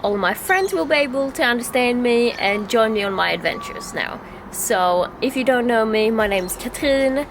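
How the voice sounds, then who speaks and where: A young woman from Sweden with a Swedish accent, chatting excitedly in a casual travel vlog and laughing often. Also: fairly high pitch, moderate pace, background noise. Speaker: vlogger.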